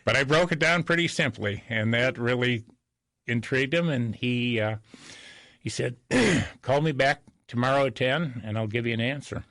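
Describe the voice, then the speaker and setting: A man's voice: medium pitch, moderate pace, fairly bright tone, no room sound. An older man speaking as an interview guest, telling anecdotes in a folksy, self-deprecating way. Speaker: interview guest, real estate broker.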